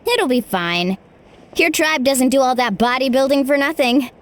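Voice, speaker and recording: A confident young female fighter from a video game. Her voice is high, breezy and teasing. There is faint ambient noise behind her. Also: lively intonation, normal speed, a bit bright.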